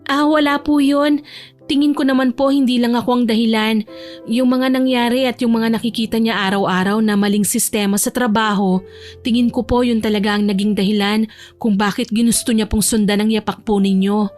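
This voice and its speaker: Woman playing a polite young woman, highish voice. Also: steady tone, fairly quick, a little mellow, faint music behind, close to an everyday voice. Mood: humble, earnest. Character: humble, polite, principled young working woman. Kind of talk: Tagalog audio drama acting.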